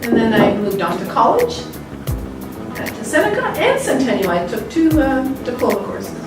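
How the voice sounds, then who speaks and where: A woman's voice: fairly high pitch, huge pitch swings, normal speed. Chatty woman in an echoey room. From Greece, raised in Toronto. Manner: chatty, laughs often, many fillers. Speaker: interviewee, school staff. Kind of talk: casual interview chat. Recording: echoey room, distant mic, music behind.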